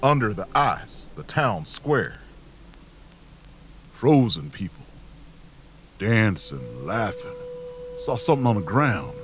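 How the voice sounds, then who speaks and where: A man acting in an audio drama as a grave, weary wanderer, narrating in halting, brooding short phrases. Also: lowish voice, sing-song, noisy background. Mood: brooding, haunted. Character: grave, weary wanderer recounting a frozen ordeal.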